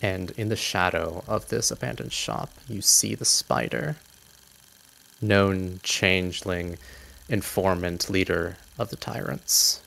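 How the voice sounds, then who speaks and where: Low, slow-paced man narrating dramatically. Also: gravelly, pushed and bright, low-quality recording. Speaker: game master. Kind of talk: dramatic scripted-sounding narration of a tabletop game.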